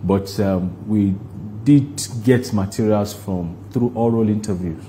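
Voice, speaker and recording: Mellow-voiced Nigerian man being interviewed. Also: fairly low pitch, measured pace, a little hiss or hum, poor audio. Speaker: interview guest, stage play producer. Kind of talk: broadcast interview, recounting.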